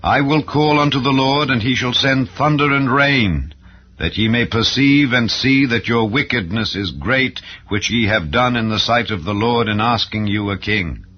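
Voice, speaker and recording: A man's voice: mid-range voice, normal speed, a little hiss or hum, pitched above the performer's own voice, natural voice. A man voicing a stern, solemn prophet who exhorts his people in a declamatory scripture reading. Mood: stern warning. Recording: dull, lo-fi audio.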